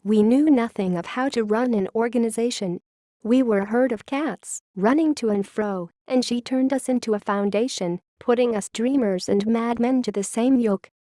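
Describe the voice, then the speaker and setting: A woman playing a wistful, grave founding scientist who confesses a secret history in a measured, literary audio-log monologue. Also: on the high side, dark tone, no echo, lightly acted. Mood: wistful, earnest.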